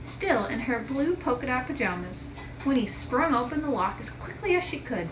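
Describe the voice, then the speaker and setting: Lady teacher reading kids book out loud, echoey room, noisy background. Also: sits high, big pitch swings, normal speed, recorded from a distance. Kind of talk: expressive children's story read-aloud.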